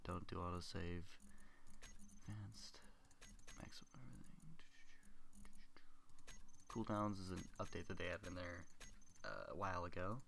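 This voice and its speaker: Low gravelly young man with a cold. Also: animated, a little slow, pauses often, a bit bright, a little hiss or hum. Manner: rambling, thinking aloud with many uhs. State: stuffed up with a cold. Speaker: gaming channel host. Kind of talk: casual gameplay commentary.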